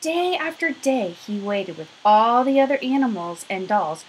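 Woman reading a picture book to kids, super animated and highish, bit of noise on a home webcam. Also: a little slow, rough recording. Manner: animated, emphatic, stretches key words. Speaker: storybook reader for children. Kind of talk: expressive children's read-aloud. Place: small room at home.

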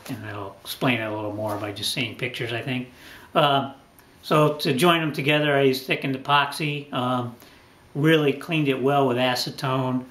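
A middle-aged man talks straight to the camera from a small workshop corner, laying out his work casually and pausing often with ums and uhs. His voice sits at an ordinary middle pitch. The room adds a noticeable echo. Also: a bit bright. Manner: unhurried, frequent ums and uhs, thinking aloud. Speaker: boat restoration channel host. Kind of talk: casual talking-head explanation.

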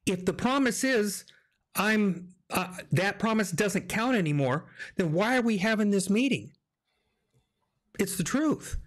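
A man's voice: high-pitched, expressive, measured pace. An American man in his fifties hosting a casual religious discussion, often repeating and rephrasing as he makes his points emphatically. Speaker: discussion host. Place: small indoor home studio, video call. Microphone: desk microphone up close.

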